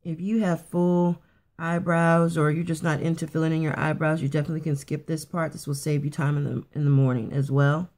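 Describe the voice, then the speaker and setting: Raspy-voiced woman chatting through a makeup tutorial. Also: low-pitched, flat delivery, brisk, darkish tone. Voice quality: raspy in the morning. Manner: chatty, rambling, many fillers and restarts. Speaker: beauty vlogger. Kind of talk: casual makeup tutorial chat. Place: at home getting ready for work.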